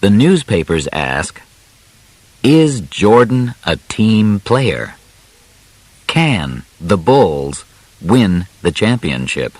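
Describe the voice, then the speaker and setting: A man reading scripted audiobook narration in simple storytelling style, with deliberate, clearly separated short phrases. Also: fairly low pitch, sing-song, no echo, low-quality recording.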